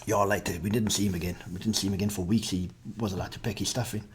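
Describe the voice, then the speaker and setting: A middle-aged South African man with a fairly low voice and a South African accent. He answers in short, blunt lines and drops crude jokes. A faint hiss of noise sits behind him. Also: fairly even intonation, rapid-fire. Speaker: guest, father and ex-soldier. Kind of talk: casual podcast Q&A.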